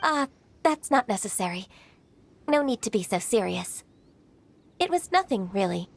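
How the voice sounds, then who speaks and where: A woman playing a gentle, modest, ageless secretary in a video game, speaking politely and earnestly. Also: mid-range voice, very animated, moderate pace, creaky. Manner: soft-spoken, polite, earnest. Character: gentle, modest, ageless half-divine young-looking female secretary. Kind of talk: video game character acting.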